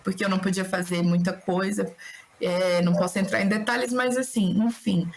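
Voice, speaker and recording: A woman with a Brasília Brazilian accent, slightly hoarse from a cold, chatting reflectively and discursively as a singer-songwriter guest in an interview. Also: medium pitch, fairly even intonation, moderate pace. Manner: talkative, discursive, philosophical. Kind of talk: casual reflective interview chat.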